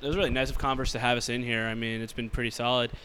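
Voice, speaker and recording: A man's voice: medium pitch, flat delivery, racing, pushed and bright. A young man from Canada interviewing a band in a casual, joking way, with lots of fillers. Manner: joking, filler-heavy, many likes. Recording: faint background noise.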